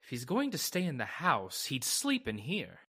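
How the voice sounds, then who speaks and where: Practical, skeptical male lawyer, played by a male actor, forceful bright tone, lively intonation, vintage radio style. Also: medium pitch, normal speed, slightly rough, full character voice. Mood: dismissive, practical. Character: brisk, level-headed male attorney, practical and skeptical. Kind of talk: old-time radio drama acting.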